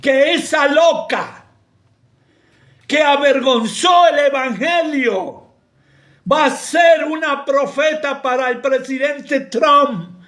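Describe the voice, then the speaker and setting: Argentine pastor, man, very high voice, slow and fiery, rebukes and shouts through the sermon. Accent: Argentine Spanish. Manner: impassioned, rebuking, bursts into shouting. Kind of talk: preaching a sermon.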